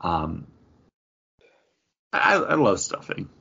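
Low-voiced, muffled American man chatting. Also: huge pitch swings, extremely slow, vocal fry, sharp tone, slightly rough. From western New York, United States. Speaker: podcast co-host. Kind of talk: casual conversational chat. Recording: dull, lo-fi audio.

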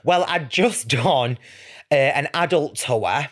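A man's voice: on the high side, slow pace, a bit bright. A young man, chatting casually as a guest in a camp, gushing, exaggerating manner. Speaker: podcast guest, panto performer. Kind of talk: casual chat.

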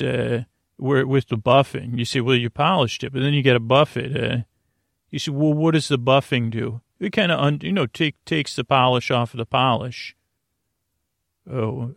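A man with a creaky voice hosting a bedtime podcast in a soothing, meandering monologue, halting and repeating himself as he drifts into tangents. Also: medium pitch, a bit bright, dry recording, clean studio sound. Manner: soothing, lulling. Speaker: host of a bedtime story podcast. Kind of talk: rambling sleep-podcast monologue.

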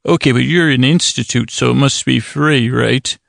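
A man acts out a bluffing, self-important academic in a comic bedtime tale. The voice is very creaky and mid-pitched, with formal phrasing that keeps tripping over itself. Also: normal speed, a bit bright, no echo, clean studio sound. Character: self-important fake university researcher, bluffing caller.

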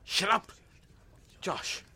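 A man's voice: extremely slow, bright, forceful tone, full character voice. A man playing a pompous, paranoid gang leader in an audio drama, bossy and melodramatic with grandiose delivery. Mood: irritated, bossy. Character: pompous, paranoid male gang leader rallying his followers.

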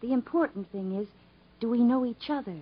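A cautious, earnest young sweetheart in old radio drama. Her voice is fairly high with a breathy, husky edge, hesitating as she gently presses her point. The sound is dull and vintage. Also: fairly quick. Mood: earnest, gentle. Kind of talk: 1950s American radio drama acting. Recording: dull 1950s radio broadcast recording.

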